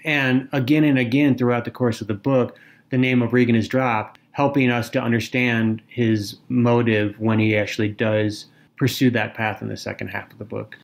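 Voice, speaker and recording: A man with a mid-range voice co-hosts a casual literary podcast. He picks apart characters and plot in a measured, articulate way, pausing now and then to find the word. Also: medium pitch, little pitch movement, gravelly. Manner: articulate, analytical, with occasional hesitations. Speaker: podcast co-host. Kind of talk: casual book discussion.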